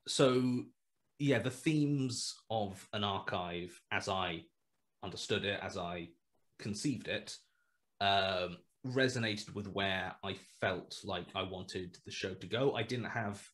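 A man in his thirties muses through a headset on a video call, his lowish voice circling back on itself with fillers and restarts. He picks his words slowly as he thinks aloud. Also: a bit bright. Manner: rambling, hesitant, many restarts and fillers. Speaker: guest writer. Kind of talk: casual video call interview. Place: small room at home.

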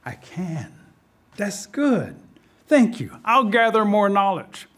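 A man voicing a crafty, eager little trickster rabbit in an oral folktale, with a coaxing, animated manner. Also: sits high, takes it slowly, voice pushed up, heavily acted. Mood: excited.

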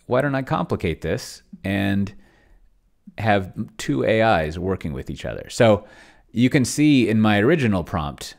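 Middle-aged man, low-pitched voice, casual screen-share host, offhand, rambling, close desk mic. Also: sing-song, a little slow, creaky. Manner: rambling, self-interrupting, offhand. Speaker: host of a tabletop roleplaying tech channel. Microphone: desk microphone close to the mouth.

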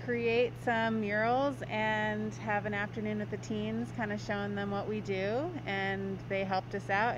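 A woman in her thirties from Hawaii, an artist being interviewed on the street, talking thoughtfully with frequent ums. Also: medium pitch. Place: outdoors by a brick wall on a city street. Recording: dull, noisy street audio.

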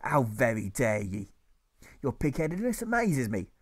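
A man voicing a furious, authoritarian old schoolteacher who yells and rants in old-fashioned speech. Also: medium pitch, big pitch swings, unhurried. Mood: demanding. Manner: ranting, yelling, archaic speech.

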